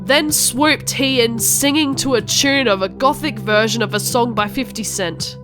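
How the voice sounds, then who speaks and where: A woman reads a first-person cartoon story as an angsty goth teenage girl. Her voice sits high and the delivery is melodramatic, with music playing behind it. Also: measured pace. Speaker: narrator.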